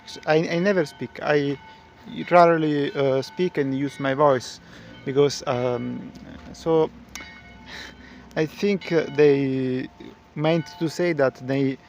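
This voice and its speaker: A man whose first language is Italian, commenting casually on a stream for a reaction video, halting and searching for his words. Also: a little high, steady tone, faint music behind.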